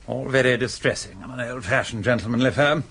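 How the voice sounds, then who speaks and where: An actor playing a wry, flippant advertising copywriter with an upper-class British accent and mock-grand delivery in a radio drama. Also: male voice, mid-range voice, fast, a bit bright, slightly rough. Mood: sardonic. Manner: droll, mock-grandiloquent, sardonic.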